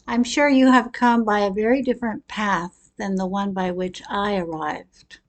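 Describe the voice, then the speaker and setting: A middle-aged woman giving a prepared personal talk as a storyteller, with deliberate, expressive and reflective delivery. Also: mid-range voice. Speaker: speaker telling her own life story.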